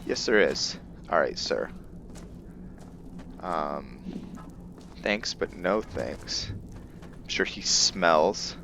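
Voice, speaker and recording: A man giving casual game commentary with roleplay lore, thinking aloud in a halting way. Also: low-pitched, animated, sharp tone, slight background noise. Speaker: gamer hosting a roleplay let's play.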